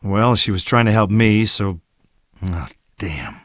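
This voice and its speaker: A man's voice: on the low side, expressive, a little slow, fairly bright tone, no room sound, clean studio sound. Cocky wisecracking male action hero. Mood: exasperated, grudging. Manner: brash, sarcastic, swaggering. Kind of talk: video game cutscene acting.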